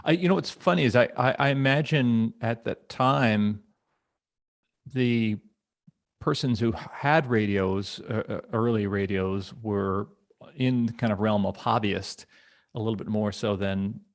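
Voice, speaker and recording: A man in casual podcast conversation, speaking in a halting, wordy and jokey way. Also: on the low side. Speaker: podcast panelist.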